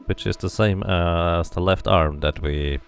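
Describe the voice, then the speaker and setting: Male game streamer, low and gravelly, musing through a playthrough and reading text aloud over faint music. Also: measured pace. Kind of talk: casual game commentary mixed with read-aloud item text.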